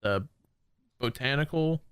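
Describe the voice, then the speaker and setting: A man co-hosting a gaming podcast, excitable and jokey, swearing freely in casual chat. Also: mid-range voice, takes it slowly, clean tone. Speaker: podcast co-host.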